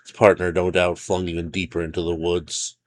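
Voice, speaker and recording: A man's voice: low voice, monotone, brisk. A man reading his own fiction aloud as a scripted story narrator.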